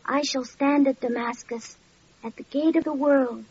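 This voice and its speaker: Earnest young boy, high-voiced. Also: fairly even intonation, a little slow, darkish tone. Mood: quietly certain. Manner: solemn, simple, dutiful delivery. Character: earnest, gentle young boy of humble home. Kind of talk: classic radio drama acting, archaic diction. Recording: dull, noisy old radio recording.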